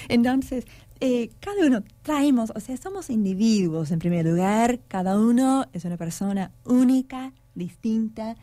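Mellow-voiced woman radio host. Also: medium pitch, no room sound. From United States. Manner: reflective, gently persuasive, with frequent fillers. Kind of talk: radio talk show. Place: radio studio. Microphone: studio microphone.

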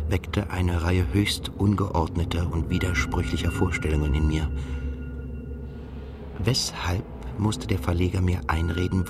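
A man acting a brooding, introspective journalist who narrates his own story in a reflective, measured way in a literary radio drama. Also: deep voice. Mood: pensive. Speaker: narrator and lead character. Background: music.

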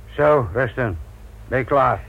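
An actor playing a sly, gruff police inspector in a radio drama, baiting people with feigned friendliness. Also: male voice, medium pitch, slow, lo-fi sound. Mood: sardonic, needling. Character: sly, needling male police inspector, mock-apologetic, gruff.

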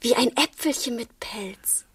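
Coy, high-voiced young servant woman. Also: a little slow, fairly bright tone, husky, no echo, far from the mic, heavily acted. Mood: playful, coy. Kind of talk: German radio play acting. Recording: off-mic, faint noise and clicks.

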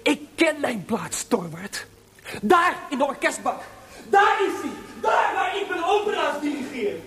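Proud, volatile composer, high-voiced. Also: male voice, big pitch swings, unhurried, a faint crowd, a little hiss or hum, full character voice. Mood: furious, defiant. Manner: theatrical, sarcastic, swinging from muttering to shouting. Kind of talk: Dutch radio drama acting.

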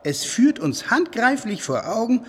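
An actor playing a proud medieval inventor who explains things emphatically in a German radio play. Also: male voice, very high-pitched, big pitch swings, measured pace, slight background noise, full character voice. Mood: proudly explaining. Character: proud male medieval inventor defending his machines.

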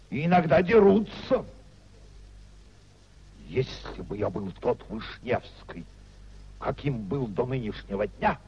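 An actor playing a bitter, domineering middle-aged official with a gravelly voice and mocking, declamatory delivery in a 1950s radio drama. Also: male voice, medium pitch, full character voice. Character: bitter, contemptuous high-ranking official, domineering husband and uncle. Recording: dull, noisy 1950s radio recording.

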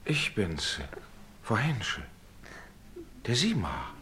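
An actor playing a courteous, paternal gentleman in a German radio play, speaking in a measured, reassuring and admonishing way. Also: lowish voice, wildly swooping pitch, a little hiss or hum, full character voice. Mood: gentle, concerned.

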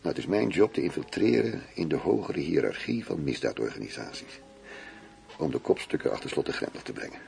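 Deep-voiced, smug undercover police chief. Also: male voice, very animated, brisk, creaky, a little mellow, faint music behind, heavily acted. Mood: confiding, boastful. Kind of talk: intimate radio play dialogue.

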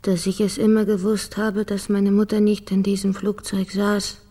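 Woman acting bitter grown-up daughter, medium pitch, curt and defiant, radio crime play. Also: level tone, normal speed, dark tone, close to an everyday voice. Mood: subdued, bitter. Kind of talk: naturalistic German radio crime drama.

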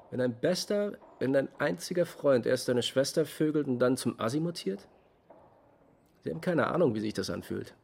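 Raspy, aggrieved young man. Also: medium pitch, darkish tone. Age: young adult. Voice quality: raspy, creaky. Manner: brusque, aggrieved. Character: bitter, hurt young man, betrayed best friend. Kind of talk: radio drama testimony.

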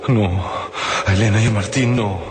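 A man's voice: lowish voice, steady tone, moderate pace, heavy background noise, natural voice. An actor playing a despairing captive man in a radio drama, speaking an anguished inner monologue in short broken phrases. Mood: anguished, horrified. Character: Juan, despairing captive man facing a horrific end. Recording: loud music under the voice. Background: music.

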